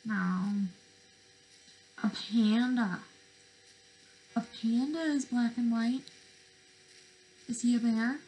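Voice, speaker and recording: A young adult woman reading interactively to small children as a teacher, expressive and sing-song, with questions and pauses. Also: fairly high pitch, slight background noise, rough recording. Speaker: speech-language pathologist presenting to preschoolers. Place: bedroom, indoors.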